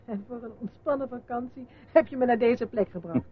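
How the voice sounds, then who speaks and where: A woman acting in a radio drama as a reporter's wife, with a breathy, husky voice. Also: on the high side, brisk. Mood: curious, wary. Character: journalist's wife, shaken witness and exasperated holidaymaker. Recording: dull, muffled radio play recording.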